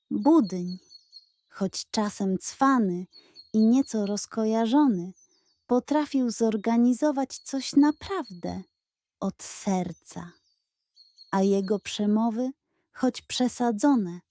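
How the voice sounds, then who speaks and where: A woman narrating a children's bedtime story in an expressive, measured way. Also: medium pitch, no echo.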